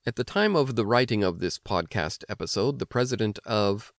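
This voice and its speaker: An American man hosting an instructional language podcast, explaining things clearly like a teacher. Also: lowish voice, animated, normal speed, dry recording, clean studio sound. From United States. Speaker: language lesson host and teacher. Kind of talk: instructional podcast narration with personal anecdotes.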